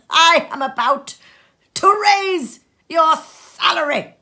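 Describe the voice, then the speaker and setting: A woman's voice: animated, extremely slow, pushed and bright, exaggerated voice. A woman voicing Scrooge, an old reformed miser. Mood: hearty. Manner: gruff then hearty and booming. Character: old male miser turned joyful and generous.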